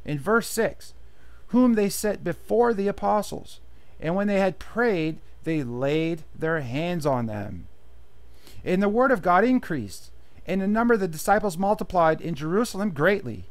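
A man teaching a Bible study, reading verses aloud and then explaining them plainly in his own words. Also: high-pitched. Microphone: voice-over on slideshow.

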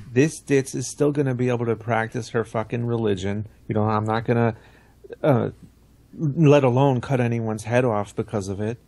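A man with a gravelly, creaky voice at a middling pitch. He speaks as a podcast panelist in loose, casual, sweary conversation. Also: moderate pace, mellow. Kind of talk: casual, profane chat.

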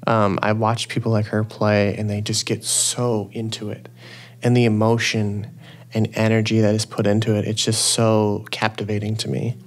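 A man's voice: on the low side, completely flat delivery, moderate pace. Rambling young male podcast host. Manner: enthusiastic, rambling, says like a lot. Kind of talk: casual interview chat.

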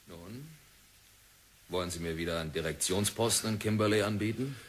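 A man playing a stubborn, older Canadian diamond magnate in a 1960s radio play, speaking in blunt, curt refusals with a husky voice. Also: fairly low pitch, fairly quick. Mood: defiant. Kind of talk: 1960s radio drama acting. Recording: old radio play recording.

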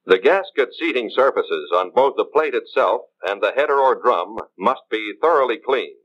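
An American man reading scripted instructional narration in a measured, formal way, as in a 1950s training film. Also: medium pitch, animated. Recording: dull 1950s film soundtrack.

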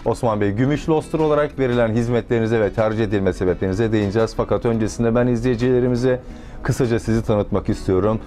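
A male interviewer talks quickly in a lowish voice, his questions formal and scripted. His tone is mellow and dark, with faint music under the speech. He keeps the exchange moving with short affirming interjections. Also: fairly even intonation.

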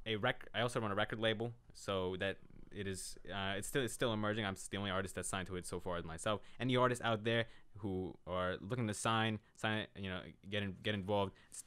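A confident teenage man from New York promotes himself as a podcast guest. His voice is low-pitched but bright and crisp. He talks into a desk mic in a small office. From New York, USA. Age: teens. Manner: confident, boastful, plugging his projects. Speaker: podcast guest, young rapper. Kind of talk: self-promoting interview answers.

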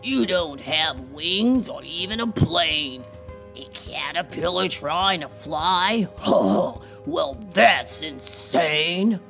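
A woman's voice: lowish voice, lively intonation, gravelly, a bit bright, soft background music, full character voice. A woman voicing a mocking, skeptical male frog in a children's storybook, scoffing and drawing out the words. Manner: scoffing, drawn-out delivery.

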